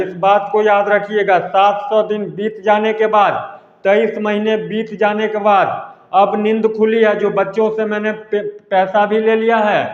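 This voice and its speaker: High-pitched man in an echoey, reverberant room. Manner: repetitive, emphatic, addresses listeners as friends. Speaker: exam news presenter. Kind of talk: informal exam update addressed to students. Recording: echoey hall-like reverb, dull lo-fi sound.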